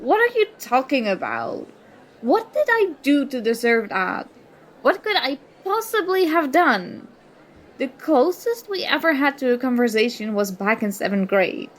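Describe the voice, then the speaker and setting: A woman's voice: high-pitched, sing-song, a little slow. A woman acting an indignant, sarcastic young student confronting a former school enemy in an audio roleplay. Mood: accusing, hurt. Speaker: ASMR roleplay performer. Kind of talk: ASMR roleplay acting, one side of a dialogue.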